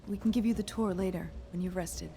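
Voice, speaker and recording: A caring governess in a video game. Her slightly low voice is gentle, prim and polite, set over faint background noise. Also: fairly even intonation, brisk, gravelly. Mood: welcoming. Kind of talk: video game character acting.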